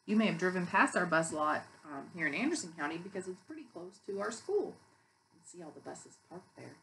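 A woman's voice: lowish voice, big pitch swings, a little fast, creaky, a bit bright, slight background noise, rough recording. An American woman in her thirties, a preschool teacher reading a picture book aloud to young children in a sing-song, emphatic way. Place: small indoor room with painted block walls.

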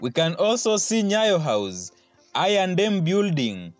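A man's voice: high voice, sing-song, slow pace. A man narrating a sightseeing tour as a travel vlogger. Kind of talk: tour guide narration over video. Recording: loud music under the voice. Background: loud background music.

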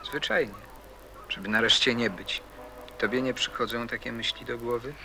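A man's voice: medium pitch, moderate pace, gravelly, natural voice. A man playing a moody, teasing teenage boy in a radio play, nonchalant, laconic and secretive. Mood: gloomy, guarded. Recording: noticeable background noise and ambience.

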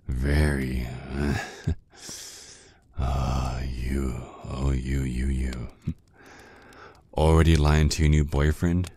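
Man acting very deep, taunting vampire ex-boyfriend. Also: dark tone, full character voice. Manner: slow, teasing, drawn-out menacing murmur. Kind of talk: ASMR roleplay.

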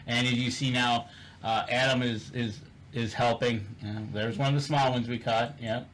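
A man's voice: medium pitch, steady tone, moderate pace, a bit bright, a little hiss or hum, poor audio. A man casually recounting a fishing trip over photos, rambling with many fillers and repeated words.

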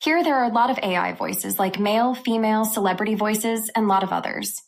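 A woman host narrating a scripted software review for a tech channel. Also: medium pitch, fairly quick, vocal fry.

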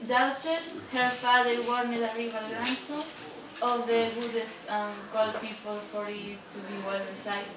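A young woman reading out scripted narration for a puppet show in a halting way. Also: a little high, level tone. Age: teenager or young adult. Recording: echoey room from far off, loud audience noise.

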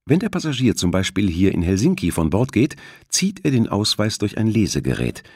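Man reading as courteous, businesslike woman officer, lively intonation. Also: on the low side, a little fast, slightly rough, dry recording, studio quality, voice pushed up, exaggerated voice. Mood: matter-of-fact. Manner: explanatory, courteous. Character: polite, businesslike female ship officer.

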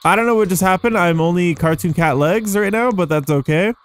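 A man's voice: sits high, moderate pace, dry recording. A young adult man giving casual, rambling gameplay commentary, easily amazed and thinking aloud as he hosts. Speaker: gaming video host.

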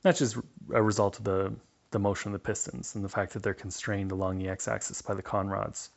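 A man's voice: fairly low pitch, little pitch movement, brisk, creaky, highs cut off. A man giving a casual, matter-of-fact instructional voice-over as a hands-on mechanic.

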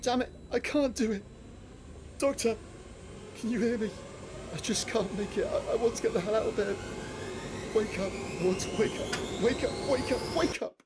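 A man's voice: high voice, background noise. Panicky, stammering young man in a horror game. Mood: panicked, pleading. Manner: halting, stammering, pleading. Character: panicky young man trapped in a nightmare underground.

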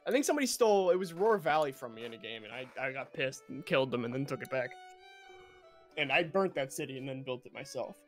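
A man's voice: medium pitch, expressive, fast, faint music behind. A man chatting casually with friends while playing a game. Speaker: multiplayer gamer. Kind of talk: casual chat while gaming.

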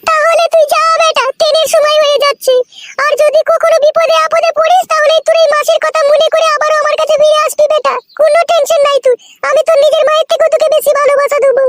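Sorrowful older widow, doting motherly manner, sentimental cartoon, extremely high pitch. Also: flat delivery, fast talker, a little hiss or hum. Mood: tender, sorrowful.

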